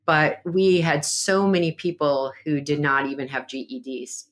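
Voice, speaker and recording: A woman's voice: deep voice, unhurried. A woman speaking as a podcast guest, earnest and reflective, with fillers as she talks. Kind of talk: conversational interview.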